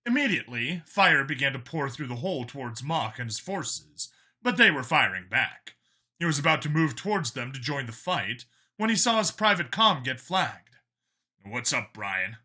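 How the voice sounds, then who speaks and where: A man's voice: mid-range voice, expressive, moderate pace, bright, forceful tone. A man reading a science fiction action story aloud as dramatic scripted narration. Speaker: audiobook-style story narrator.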